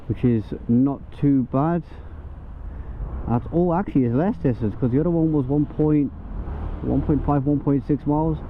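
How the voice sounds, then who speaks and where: A man's voice: a little high, normal speed. A man with a London accent, a delivery rider casually chatting to his viewers with lots of fillers and asides. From England. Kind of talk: casual vlog chat. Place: out on the street and in a car. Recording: tinny lo-fi audio with faint traffic.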